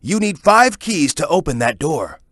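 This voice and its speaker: A man's voice: a little high, sing-song, normal speed, a bit bright, no room sound, studio quality. A man voicing a cocky, tough young echidna hero in a video game, giving hints in a confident, punchy, instructive manner. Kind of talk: video game voice acting, tutorial hints and banter.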